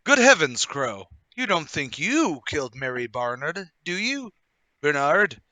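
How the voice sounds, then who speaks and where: An actor playing a brisk, self-assured, well-to-do gentleman, emphatic and a little patronising, in a table read of a period murder mystery. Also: on the high side, sing-song, dry recording, full character voice. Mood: shocked.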